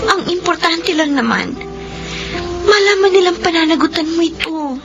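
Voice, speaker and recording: A woman's voice: extremely high pitch, moderate pace, muffled recording, music in the background. A woman playing an anxious pregnant young woman in a radio drama, earnest, insistent and emotional. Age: young adult. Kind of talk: Filipino radio drama acting.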